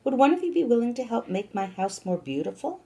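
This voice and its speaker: An earnest little hermit crab from a storybook , voiced by a woman, courteous and full of admiration. His pitch rises and falls eagerly as he makes his requests. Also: very animated, brisk, mellow. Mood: admiring, hopeful. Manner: polite, earnest. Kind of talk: children's storybook reading.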